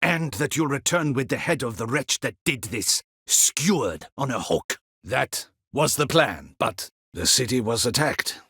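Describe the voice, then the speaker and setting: An actor playing a stern, proud, dutiful captain of a ducal guard in a fantasy video game, with a formal, clipped, haughty delivery and a husky voice. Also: male voice, medium pitch, animated, a little slow, bright, forceful tone, no echo, studio quality, heavily acted. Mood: cold, accusing.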